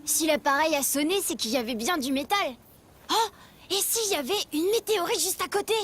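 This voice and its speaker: A woman voicing a logical, eager child explorer in a children's cartoon, reasoning things out brightly. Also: high voice, brisk. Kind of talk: dubbed children's cartoon acting.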